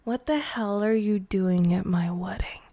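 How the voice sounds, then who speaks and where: A woman voicing a possessive, menacing young vampire bride in an intimate, teasing ASMR roleplay, breathy and often whispering. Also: medium pitch, unhurried, mellow, no echo. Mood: cold, menacing. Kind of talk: ASMR girlfriend roleplay, improvised.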